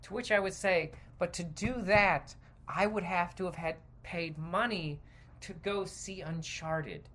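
A man's voice: high voice, a little slow, a bit bright. A man hosting a podcast, delivering a casual, opinionated rant in a rambling, digressive and emphatic way. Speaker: podcast host.

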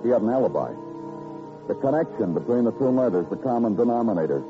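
An American actor playing a weary, hardboiled police detective in a radio crime drama, terse, clipped and brooding. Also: male voice, mid-range voice, expressive, fast, close to an everyday voice. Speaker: actor, lead and narrator. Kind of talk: 1950s radio crime drama acting, hardboiled first-person narration. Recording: muffled old radio recording with music.

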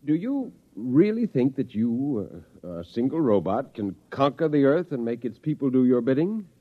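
A man's voice: mid-range voice, very animated, moderate pace. A man playing an earnest, courteous professor in an old radio drama, hesitant and anxious in his pleading. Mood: wary, reasoning. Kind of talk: 1950s radio drama acting. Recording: dull vintage radio recording.

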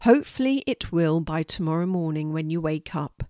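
Reader as a soft-spoken caring mum in a storybook, lowish voice, dry sound. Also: female voice, expressive, moderate pace, no room sound. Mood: gentle. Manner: gentle, soothing. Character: gentle loving mother.

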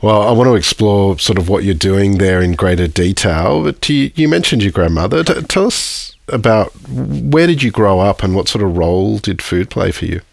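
Deep-voiced male podcast host. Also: animated, normal speed, gravelly, hardly any pauses, no room sound. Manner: hesitant, many fillers while asking. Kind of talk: interview questions, scripted intro.

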